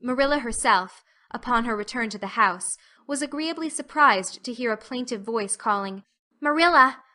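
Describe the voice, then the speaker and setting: A woman narrates an audiobook. Her voice sits a little high and has a bright, forward tone. The delivery is scripted storytelling. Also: moderate pace, few pauses.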